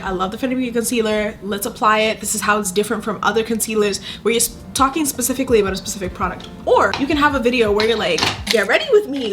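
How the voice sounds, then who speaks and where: A woman's voice: mid-range voice, fairly bright tone. A young woman from Zimbabwe who makes videos, giving casual advice in a chatty, emphatic way with lots of fillers. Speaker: content creator. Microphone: phone camera.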